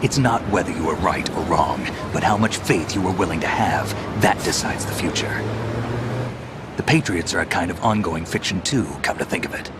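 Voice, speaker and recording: Game mentor, male actor: grave veteran soldier, deep register, weighty and earnest, prominent score behind. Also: normal speed, natural voice. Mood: grave, reassuring. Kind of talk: video game cutscene acting. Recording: loud music behind the voice. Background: loud game music.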